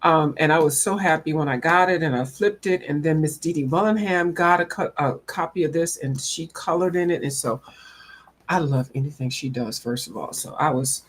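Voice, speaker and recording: A woman's voice: sits low, moderate pace. A woman chatting casually to viewers in a folksy, rambling way. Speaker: coloring channel host. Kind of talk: casual chat to viewers about coloring books.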